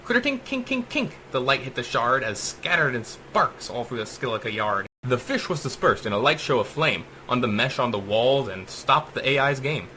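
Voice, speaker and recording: Casting: male author-reader, theatrical and emphatic rhyming narration, sharp bright voice, very lively intonation. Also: mid-range voice, moderate pace, few pauses, a little hiss or hum. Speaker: author reading own short story. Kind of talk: dramatic rhyming audiobook narration.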